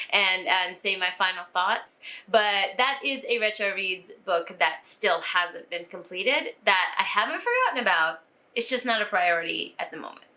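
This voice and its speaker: A woman's voice: fairly high pitch, pushed and bright. A woman vlogger chatting casually and informally to viewers about books, laughing easily as she talks. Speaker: book vlogger.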